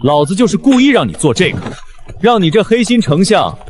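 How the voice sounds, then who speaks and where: An actor playing a brash, hot-tempered frontier general from ancient China, coarse and taunting, in an animated costume drama. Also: male voice, high-pitched, a little fast, slight background noise. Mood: gruff, teasing.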